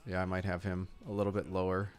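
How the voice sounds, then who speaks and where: A man's voice: deep voice, completely flat delivery, fast talker, clear, resonant tone. A man co-hosting a live football stream, chatting casually and greeting viewers. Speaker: co-host of a live sports watch stream. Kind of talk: casual chat, reading viewer comments.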